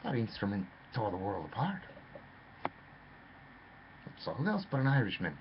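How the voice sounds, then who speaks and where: Male storyteller, low voice, wildly animated delivery, rambling and theatrical; muffled lo-fi take with faint noise. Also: normal speed. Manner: theatrical, rambling, addresses listener as lad.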